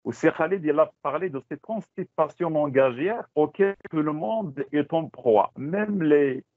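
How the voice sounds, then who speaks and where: A Moroccan man whose first language is Tachelhit, giving a halting teaching talk on a video call, repeating words and slipping into Moroccan Arabic. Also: a little high, no echo. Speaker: teacher trainer. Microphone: computer mic on a video call. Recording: dry, phone-like call audio.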